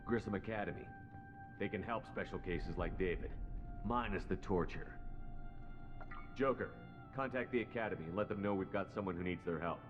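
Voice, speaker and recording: Man as a low-voiced, blunt space commander. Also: normal speed, pauses often, long reverb tail, lightly acted. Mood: firm, dry. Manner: firm, blunt, plain-spoken. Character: resolute male military space commander, blunt and protective. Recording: dull sound under loud music.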